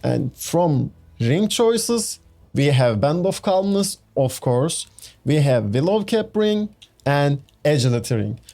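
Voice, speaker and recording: A man's voice: a little high, big pitch swings, slow, warm tone. A young man with a Turkish accent, a gaming YouTuber, chattily walking through a game build with fillers and restarts. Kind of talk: casual game build walkthrough. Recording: faint background music.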